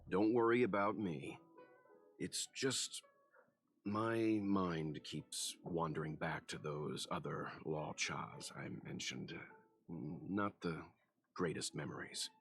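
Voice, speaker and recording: Low, unhurried wry gentleman mentor. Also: breathy edge, full character voice. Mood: pensive. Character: calm, wry older gentleman, indulgent mentor. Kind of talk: game voice acting. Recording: music under the voice. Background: music.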